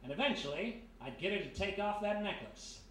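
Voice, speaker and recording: Guy acting as slangy confident detective in an old radio show, high pitched voice, roomy live stage sound. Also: animated, brisk, fairly bright tone. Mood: confident, sardonic. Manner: wisecracking, slangy, explaining confidently. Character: brash American private detective, reformed thief, laying out a case. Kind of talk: 1930s-style detective radio play acting before a live audience. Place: live performance in a historic house. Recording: roomy live stage performance, faint noise.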